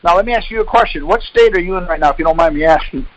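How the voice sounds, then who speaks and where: A man's voice: sits high, rapid-fire, no room sound, dull audio. A man leading a call, explaining and debating in an insistent, corrective way with pointed questions. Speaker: call leader and instructor.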